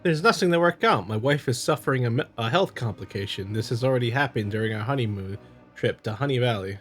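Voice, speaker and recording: A man playing a smooth, scheming visual novel husband who feigns loving concern, coaxing and patronizing. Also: medium pitch, expressive, fast talker, soft background music, natural voice. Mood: feigned concern.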